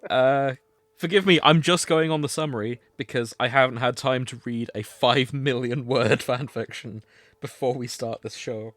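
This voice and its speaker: A man's voice: medium pitch, moderate pace, a bit bright, no echo. A man hosting a podcast, chatting in a casual, comedic way. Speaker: podcast host.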